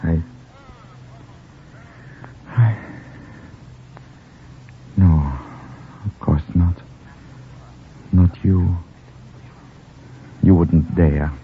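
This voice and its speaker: An actor playing an anxious, suspicious officer in a 1950s radio drama, speaking haltingly. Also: male voice, low voice, slight background noise. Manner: halting, repeats himself. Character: anxious, suspicious German officer in love, unravelling. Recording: thin muffled 1950s radio, faint music.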